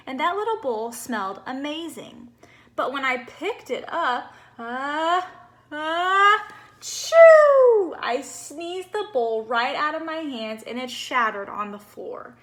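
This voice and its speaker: Little snowman read by a woman, high voice, bubbly, innocent, cheerful storybook narrator. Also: a bit bright, full character voice. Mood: delighted. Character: cheerful, naive little male snowman telling his story.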